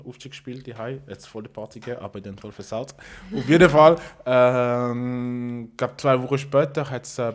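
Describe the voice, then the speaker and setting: A man, telling stories in a casual chat with laughs. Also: mid-range voice. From Congo. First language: French. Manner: animated storyteller, laughs often. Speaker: guest.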